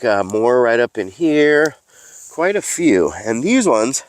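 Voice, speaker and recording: A man's voice: on the low side, no echo. A man with a stuffy nose, a mycology educator and host, chatting outdoors in a forest as he explains what he finds, with frequent fillers. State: stuffy from allergies. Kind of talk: casual outdoor teaching vlog.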